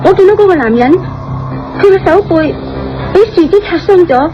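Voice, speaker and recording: A woman's voice: extremely high pitch, lively intonation, normal speed. An actress playing a polite, earnest, easily frightened young female star in a radio drama. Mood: shaken. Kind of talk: Cantonese radio drama acting. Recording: dull lo-fi audio with background music.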